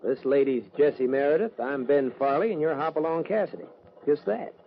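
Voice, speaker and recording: A man's voice: fairly high pitch, fairly quick, vocal fry. A young American man acting a courteous, earnest greenhorn rancher in an old-time radio western, polite and a little hesitant. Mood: guarded, earnest. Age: young adult. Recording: muffled, noisy old radio recording.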